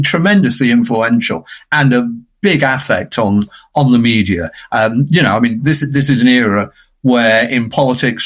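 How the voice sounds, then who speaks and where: A man speaking as a podcast guest, explaining media history in an emphatic, discursive way. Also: mid-range voice.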